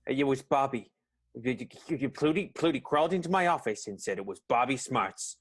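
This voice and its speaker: A man's voice: mid-range voice, animated, fairly quick, breathy edge. A man playing a flustered, earnest vaudeville theater boss, stammering and hesitant, in an improvised radio play. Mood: shaken.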